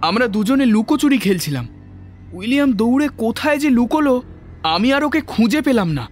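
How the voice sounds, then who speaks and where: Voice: mid-range voice, animated, a little fast, soft background music, lightly acted. An actor voicing an anxious young boy looking for his lost brother, worried and pleading, in an audio drama. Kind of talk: Bengali audio drama acting.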